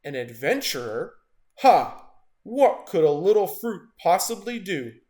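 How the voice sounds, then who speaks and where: Hungry male shark for a children's story, voiced by a man: growling, menacing, wryly amused, big swings in pitch. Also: very animated, a little slow, a little mellow. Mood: mocking.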